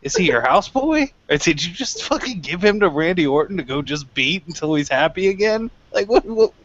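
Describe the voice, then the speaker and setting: A man's voice: high voice, very animated, fairly quick, fairly bright tone, highs cut off. A man on a review panel in a casual, opinionated rant, incredulous and swearing freely.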